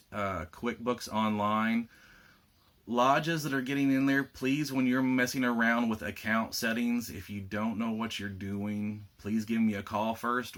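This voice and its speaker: A man with a slightly rough voice, giving a casual video update to lodge officers, plainspoken with frequent fillers. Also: fairly low pitch, a bit bright. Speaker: state lodge administrator giving members an update.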